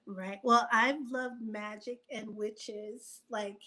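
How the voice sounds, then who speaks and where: A woman speaking as a guest writer in a casual interview, conversational and animated, thinking aloud as she goes. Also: medium pitch, slow pace.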